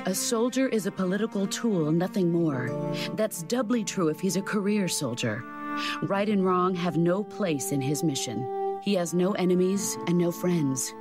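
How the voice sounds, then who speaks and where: An actress playing a stern, calm military mentor in a video game, speaking in a measured, instructive way. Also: female voice, fairly low pitch, music in the background, lightly acted. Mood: stern, solemn. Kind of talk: dramatic game cutscene acting.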